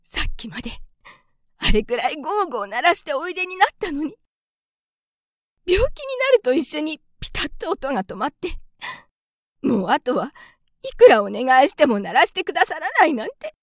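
A woman doing a mother field mouse, very high and loud, anxious, pleading, humbly polite, dry close sound, storybook reading. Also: big pitch swings, normal speed, pauses often, dry recording. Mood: tearful lament.

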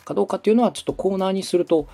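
A man's voice: sits high, rapid-fire, a loud crowd. A man from Osaka giving polite, methodical advice as a podcast host and radio director. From Osaka, Japan. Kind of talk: explanatory consulting talk.

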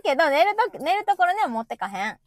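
A middle-aged woman with a Kansai accent, chatting casually and telling everyday stories in an animated, talkative way as a stream host. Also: very high voice, fast talker. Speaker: live audio stream host. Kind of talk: casual chat.

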